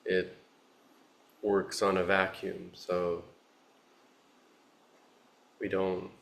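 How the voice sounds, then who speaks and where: Slow, deep-voiced man guiding meditation. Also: monotone, creaky, fairly bright tone. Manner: soothing, unhurried, with long pauses. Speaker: meditation teacher. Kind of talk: guided meditation. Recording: roomy, echoing space.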